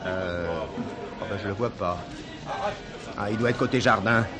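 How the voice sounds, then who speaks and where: A man's voice: lowish voice, moderate pace, music in the background, lightly acted. An actor playing a chatty, theatrical backstage man in a French stage comedy-mystery, exclaiming and teasing as he evades questions.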